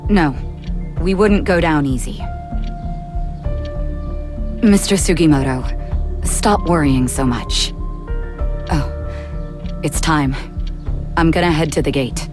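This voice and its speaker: An actress playing a resolute, cool guild fighter in an anime game, firm and blunt. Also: female voice, sits low, slow pace, pauses often, over music. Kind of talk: anime game cutscene acting.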